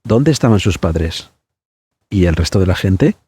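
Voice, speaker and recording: A man hosting a podcast, narrating a scripted story in a measured, suspenseful manner. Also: low-pitched, animated, dark tone, breathy edge, dry recording.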